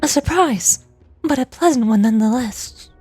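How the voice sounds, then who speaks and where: An actress voicing a composed, formal female leader in a video game, speaking in a measured, diplomatic and commanding way. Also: high-pitched, lively intonation, warm tone, soft background music. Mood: composed, inquiring.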